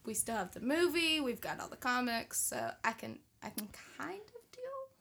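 A woman's voice: sits high, huge pitch swings, normal speed, vocal fry, bright, forceful tone, breathy edge. A woman chatting casually as a panelist on a podcast. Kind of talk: casual chat.

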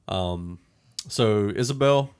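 A man with a fairly low voice hosts a casual movie discussion. He talks conversationally and states his opinions plainly, as a podcast host among co-hosts. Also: slow pace, a little mellow, smooth.

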